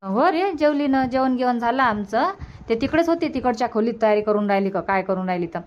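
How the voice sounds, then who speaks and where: A woman's voice: on the high side, animated, fast talker, dull audio, a little hiss or hum, close to an everyday voice. A woman voicing a thrifty, nagging older village mother in a cartoon comedy, with colloquial Vidarbha Marathi. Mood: fretful.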